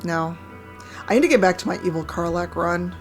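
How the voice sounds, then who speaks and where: A woman streaming a game, chatting casually with a hoarse, croaky voice and a wry, chatty manner. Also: fairly low pitch, fairly quick, faint music behind. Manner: chatty, wry, reads chat aloud. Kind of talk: casual live-stream chat while gaming.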